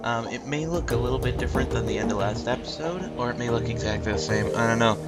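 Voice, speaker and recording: A man's voice: medium pitch, fast. A man with a stuffed-up nose hosting a let's play, talking in a rambling, hesitant casual way. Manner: rambling, hesitant, many ums. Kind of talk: casual game commentary. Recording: game music behind.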